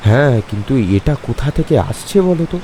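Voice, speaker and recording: A man voicing a young village man who tries to keep calm and steady in an animated horror story, over music and rain. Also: mid-range voice, fast talker, slight background noise, close to an everyday voice. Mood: uneasy. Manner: firm, then stuttering under fright.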